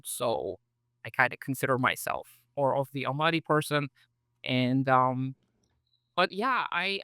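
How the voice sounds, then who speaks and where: Guy in his 30s, chatty podcast guest, smooth clear voice, dry close sound. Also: on the high side, a bit bright, dry recording. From Kazakhstan. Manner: friendly, reflective storyteller with frequent "uh" and "so". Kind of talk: casual interview chat. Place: home.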